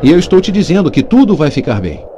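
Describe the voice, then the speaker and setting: A tough game hero, brusque and commanding. His voice is slightly high with lively swings in pitch. There is clear noise behind him. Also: fast talker. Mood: irritated, forceful. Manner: firm, commanding. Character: tough, brusque male action-adventure hero. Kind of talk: dubbed video game acting. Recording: noisy game audio with ambience.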